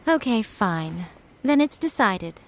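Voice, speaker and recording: A woman's voice: medium pitch, sing-song, slow, very noisy. A woman playing a sly, aloof shrine priestess in a video game, self-assured and playfully bossy. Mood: flippant. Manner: teasing, self-assured, nonchalant. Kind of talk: English video game voice acting.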